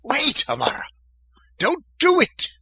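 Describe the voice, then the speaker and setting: A man acting an excitable, urgent hero in an audio drama, with theatrical exclamations. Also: extremely high pitch, unhurried, slight background noise, heavily acted. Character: excitable, loud male robot and urgent time-travelling Doctor.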